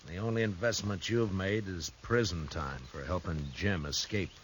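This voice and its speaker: An actor playing a steady, dry-witted frontier marshal in a 1950s radio drama, calm and wry. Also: male voice, deep voice, moderate pace, a little hiss or hum, close to an everyday voice. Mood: dry, firm.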